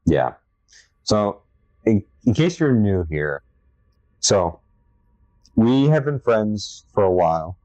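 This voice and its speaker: A young man with a low voice co-hosting a casual podcast chat, an enthusiastic horror fan who rambles a little. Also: sing-song, takes it slowly, pauses often, dark tone, no room sound. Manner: enthusiastic, self-described horror fanatic, rambling. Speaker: podcast co-host. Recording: dry, dull lo-fi sound.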